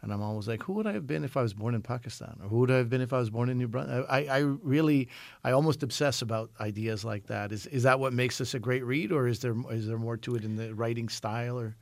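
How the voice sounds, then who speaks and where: A man's voice: mid-range voice, fast, creaky, no room sound. A man from Canada hosts a radio books show, asking curious, probing questions with restarts and reading the outro.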